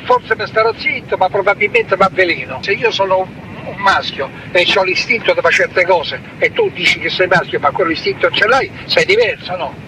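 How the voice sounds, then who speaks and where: Roman guy, middle aged, kinda high voice, stumbles over words, lots of traffic-like noise behind. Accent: Roman Italian. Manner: halting, repeats and restarts words. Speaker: father being questioned. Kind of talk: informal recorded interview. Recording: loud traffic-like ambient noise.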